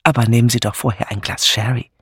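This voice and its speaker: Man doing a gracious older hostess, calm, polite, slightly husky, dry. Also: medium pitch, quick pace, no echo.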